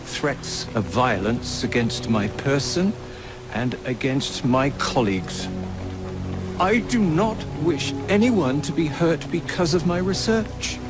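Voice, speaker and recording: An actor playing a proud, stubborn elderly Victorian scientist in a video game, formal and eloquent, with an English accent. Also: male voice, fairly high pitch, slow pace, music in the background. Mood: troubled, grave. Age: older. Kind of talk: video game cutscene acting.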